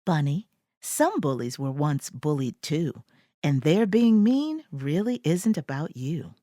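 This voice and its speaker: Storybook mama rabbit, gentle and reassuring, breathy, unhurried delivery performed by a woman. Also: low-pitched, sing-song, no room sound, studio quality, voice pushed down, full character voice.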